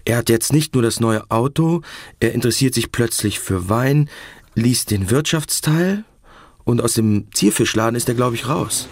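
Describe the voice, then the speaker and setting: A man's voice: medium pitch, expressive, normal speed, no echo. An actor playing a musing man who confides in his diary, in a radio play monologue.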